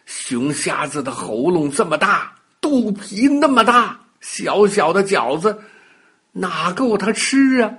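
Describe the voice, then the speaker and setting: A man telling a children's bedtime story in a dramatic, expressive way, with exclamations and sound words. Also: high voice, slow, husky.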